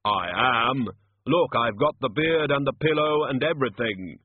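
Guy doing storybook grim reaper playing santa, slow n awkward, very literal, husky, muffled sound. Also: medium pitch, animated, no room sound, voice pushed up. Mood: stiffly jovial. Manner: slow, hesitant, literal-minded.

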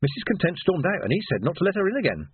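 Indignant gossiping helper, read by a male narrator: chattering, flustered, lively pitch, phone-like audiobook sound. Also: rapid-fire, breathy edge, dry recording, heavily acted. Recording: narrow phone-like band.

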